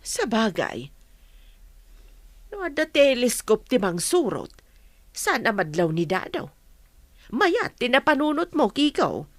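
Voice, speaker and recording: High-voiced, wary village mother, acted by a woman. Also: sing-song, slow pace, long pauses, dry recording, full character voice. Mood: cautious. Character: wary village mother advising her family. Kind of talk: Ilocano radio drama acting.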